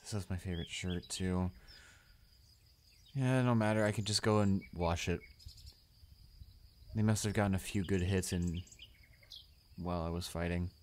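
A young man acting a shy, anxious, bullied high school student in an audio roleplay, stammering and hesitant. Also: fairly even intonation, moderate pace, long pauses, slight background noise, lightly acted. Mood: sheepish, subdued. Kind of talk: romantic audio roleplay, one-sided dialogue.

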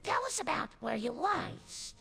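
A woman voicing a gracious, peacemaking older mother in an animated comedy, polite and proper. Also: sits high, measured pace, gravelly, faint music behind, heavily acted. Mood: cheerful, polite.

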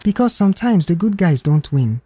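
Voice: medium pitch, big pitch swings, normal speed, warm tone, slightly rough. A storyteller voicing an outspoken, pessimistic young boy who talks in an emphatic, gossipy way. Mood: alarmed, insistent. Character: Small But Mighty, outspoken, pessimistic young brother.

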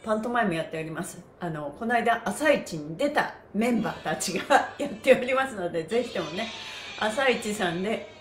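A woman hosting a livestreamed exercise class, chatting to viewers in a cheerful, energetic, polite presenter manner. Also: medium pitch, brisk, faint music behind. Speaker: host of a livestreamed stretching class, pantomime performer. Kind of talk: upbeat exercise instruction and casual livestream chat to viewers. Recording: roomy echo, slight background noise.

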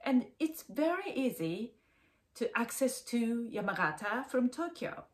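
An older Japanese woman with a Japanese accent, a vlogger speaking careful, simple English in short phrases as she guides viewers. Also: mid-range voice. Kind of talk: travel vlog guide. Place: room at home with a bookcase.

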